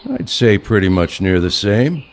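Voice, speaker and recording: A man as a deadpan veteran assassin, lively intonation. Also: fairly low pitch, measured pace. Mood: reluctant, unimpressed. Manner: dry, deadpan, terse. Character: principled, weary older male veteran assassin. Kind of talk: audio play dialogue.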